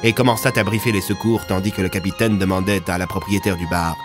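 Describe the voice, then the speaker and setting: A man's voice: sits low, steady tone, fast, over music. A man narrating scripted audio fiction as a storyteller.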